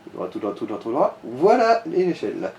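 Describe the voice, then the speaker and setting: A man's voice: mid-range voice, huge pitch swings, brisk, darkish tone, dull audio. A man chatting casually as he gives directions to a player during a let's play.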